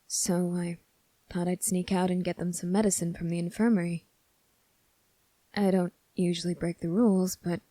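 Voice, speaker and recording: Woman as kind young male cadet for ASMR roleplay; relaxed dark tone, gentle and reassuring, very dry sound. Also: on the low side, normal speed, dry recording, lightly acted. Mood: warm, caring. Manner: soft, gentle, reassuring, polite. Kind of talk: ASMR character roleplay, spoken to the listener.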